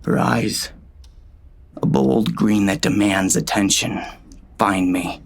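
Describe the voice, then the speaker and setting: Gruff, bitter gunslinger, low and gravelly, narrating a western audiobook in terse, brooding first person. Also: male voice, fairly even intonation, slow pace, heavily acted. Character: gruff, bitter gunslinger narrating his own story, a man. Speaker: audiobook narrator and lead actor.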